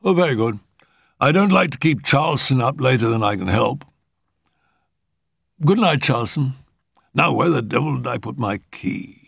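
A man voicing a courteous, fussy upper-class older gentleman with a formal, brisk, polite manner. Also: medium pitch, animated, gravelly, slightly rough, no room sound, studio quality, pitched above the performer's own voice, full character voice.

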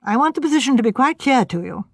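A woman's voice: sits high, lively intonation, fast, creaky, dry recording, studio quality, pitched above the performer's own voice, exaggerated voice. Woman reading a formal, warning chief constable. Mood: stern.

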